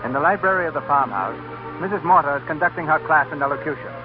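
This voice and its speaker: A man's voice: fairly high pitch, quick pace. Casting: formal male narrator for 1930s radio drama, solemn delivery, dull vintage sound, music underneath. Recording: muffled 1930s radio broadcast.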